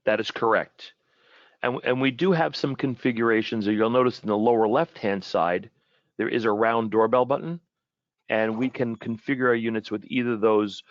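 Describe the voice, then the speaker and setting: A man giving an informal webinar product presentation in a halting way, often repeating and rephrasing his words. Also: mid-range voice, dry recording, dull audio.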